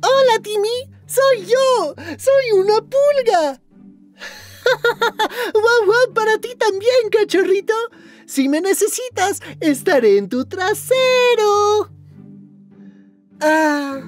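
Dim, goofy male cartoon fairy, extremely high childlike voice, slow exaggerated delivery. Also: exaggerated voice. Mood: goofy, cheerful. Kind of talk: cartoon dub.

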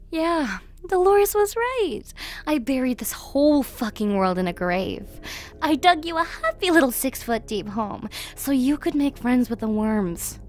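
Casting: a sadistic, playful goddess of destruction for horror audio drama; high, very animated, theatrical, sweetly venomous. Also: female voice. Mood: mocking, venomous. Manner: theatrical, mocking, giggly, swinging from sweet to venomous. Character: gleefully cruel, playful world-killing goddess villainess. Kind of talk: villain monologue in an audio horror series.

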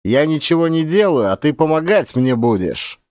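A man's voice: on the high side, very animated, moderate pace, a little mellow, no room sound, studio quality, voice pushed up. A man voicing a rude, spiteful middle-aged village drunkard, taunting with brazen mock respect, in an audiobook. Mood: mocking, jeering.

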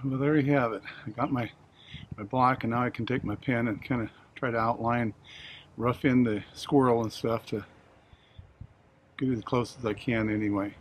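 A man's voice: mid-range voice, fairly even intonation, normal speed, slight background noise. An older man, around his sixties, chatting casually to camera in a folksy, rambling, easygoing way as he hosts a hobby video.

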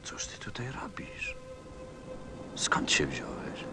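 Very deep, slow-spoken, patient pilot played by a man. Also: wildly swooping pitch, vocal fry, bright, forceful tone, in a whisper, soft background music, exaggerated voice. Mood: puzzled. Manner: hesitant, musing, patient. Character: stranded adult pilot, puzzled, patient and obliging. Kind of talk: radio play acting.

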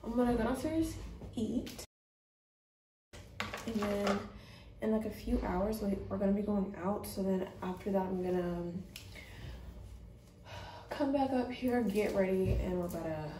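A woman's voice: medium pitch, a little slow, pauses often, dark tone, a little hiss or hum, poor audio. A young adult woman chatting casually to camera as a travel vlogger, recorded on a handheld phone. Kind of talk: casual vlog chat. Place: varies: vehicle, outdoors, hotel room.

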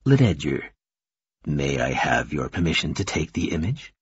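Man acting a low, husky, courteous emissary. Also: sing-song, normal speed, no echo, lo-fi sound, heavily acted. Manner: formal, gentle, restrained. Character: calm, courteous, regretful male emissary, formal and measured. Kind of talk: audiobook character dialogue.

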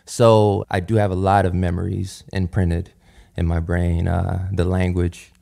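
A man's voice: deep voice, level tone, a little slow, gravelly, mellow. A young man from Nigeria, guest singer on a studio podcast, talking in a thoughtful, easygoing way. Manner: thoughtful, easygoing, laughs often. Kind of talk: relaxed conversational interview.

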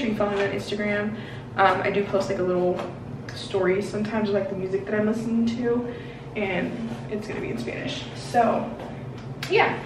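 A woman's voice: mid-range voice, gravelly. A woman of twenty-one chatting casually and rambling with many fillers while she gets ready in a bathroom, on a camera a few feet away. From Washington, D.C. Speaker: beauty vlogger. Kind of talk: casual get-ready-with-me chat. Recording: roomy bathroom echo, mic at a distance, noisy.

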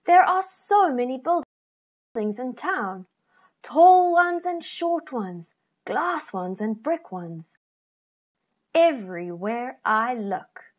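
A middle-aged woman reading a children's picture book aloud, expressively, with deliberate phrasing and stressed key words. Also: high-pitched, animated. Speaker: children's story reader. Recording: slightly dull, lo-fi computer recording.

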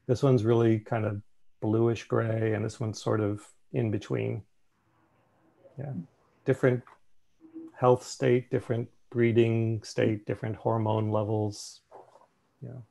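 A man's voice: mid-range voice, fairly even intonation, normal speed, vocal fry, long pauses, mellow. A man casually presenting a slideshow on a video call. He thinks aloud, with fillers and restarts. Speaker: meeting presenter.

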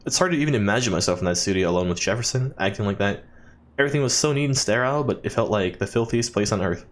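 A man's voice: fairly low pitch, expressive, rapid-fire. A man doing a let's play, reading in-game journal text aloud expressively and dropping in jokey asides. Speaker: let's player.

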